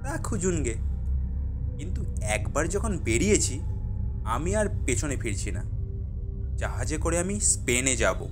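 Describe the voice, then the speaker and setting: A man's voice: a little high, big pitch swings, unhurried, pauses often. A man playing a restless, mischievous schoolboy who boasts of adventures, in a theatrical audio horror story. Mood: boastful, defiant. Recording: music bed behind the voice.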